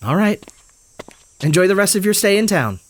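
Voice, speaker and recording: A small-town motel clerk in an audio drama, with a mid-pitched voice. She is courteous and chatty, choosing her words tactfully. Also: very animated, moderate pace. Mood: tactful, probing. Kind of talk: naturalistic audio drama acting.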